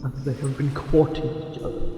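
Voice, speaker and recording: A man voicing a tender, possessive merman for an ASMR romance roleplay, speaking formally and haltingly with a breathy delivery. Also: mid-range voice, level tone, dark tone, close to an everyday voice. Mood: flustered. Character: lovesick possessive angler merman, courtly and tender. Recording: very echoey, long reverb, music behind.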